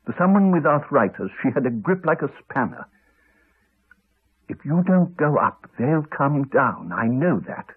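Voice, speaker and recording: An actor playing a haunted man in a 1980s radio drama, telling his story in a hesitant, halting, subdued way. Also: medium pitch, sing-song, breathy edge. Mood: haunted, tense. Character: Alex, haunted man haltingly recounting a horror. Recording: muffled phone-like archive radio recording.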